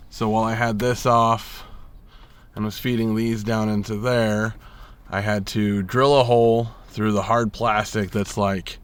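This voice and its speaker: A man's voice: on the low side, pushed and bright, clear, resonant tone. A man giving a casual, plainspoken DIY walkthrough from inside a truck cab, grumbling mildly as he points things out. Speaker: vlogger showing a DIY truck install. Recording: handheld camera audio with faint vehicle noise.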